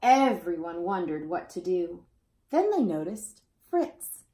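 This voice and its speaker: A woman's voice: on the low side, very animated, slow pace, warm tone, slight background noise. A woman reading a children's picture book aloud expressively, as storyteller to young listeners. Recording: roomy, echoey indoor sound.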